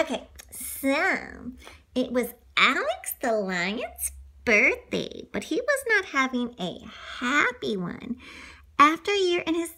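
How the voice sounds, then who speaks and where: A woman's voice: extremely high pitch, pushed and bright, a little hiss or hum. A woman reading a children's story aloud in a playful, exclamatory way, with ad-libs along the way.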